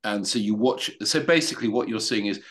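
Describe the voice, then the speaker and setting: Low-voiced man with halting restarts. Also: fairly bright tone. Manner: hesitant, many restarts, opinionated. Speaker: meetup participant. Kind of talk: casual video call discussion. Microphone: video call.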